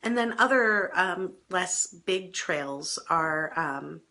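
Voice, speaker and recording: A middle-aged woman, a bit hoarse from a cold, hosting an advice show in a chatty, self-deprecating way with small laughs and restarts. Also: lowish voice, animated, slow pace, sharp tone. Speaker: advice column host. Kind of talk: casual advice talk to camera.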